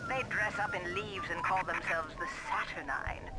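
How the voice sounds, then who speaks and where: Actress as sardonic scientist, noisy recording. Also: female voice, fairly low pitch, fairly even intonation, moderate pace, close to an everyday voice. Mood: mocking. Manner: sardonic, dismissive. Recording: very noisy old audio diary recording.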